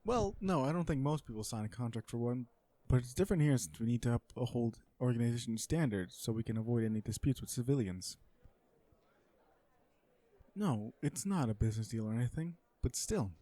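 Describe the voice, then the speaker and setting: Man playing a mellow-voiced, awkward villain on a date. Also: mid-range voice, expressive, fairly quick, dry recording, natural voice. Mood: defensive, deadpan. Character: awkward, blunt, legal-minded male villain on a blind date. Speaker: voice actor in audio roleplay. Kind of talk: romantic comedy audio roleplay, one side of a dialogue.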